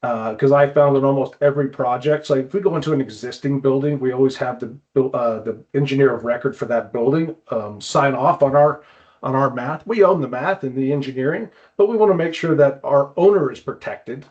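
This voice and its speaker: A man's voice: mid-range voice, brisk, a little mellow, dull audio. A man speaking as a trade expert guest in a casual interview, explaining practical details and often repeating words as he goes. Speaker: guest, climbing wall builder and consultant.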